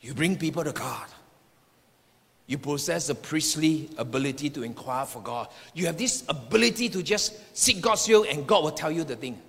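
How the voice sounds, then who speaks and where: High bright-voiced male preacher in echoing hall. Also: lively intonation, moderate pace, gravelly, slightly rough. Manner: emphatic declarative delivery with repeated phrases. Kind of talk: sermon with personal prophetic words to the congregation. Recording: echoing hall with long reverb.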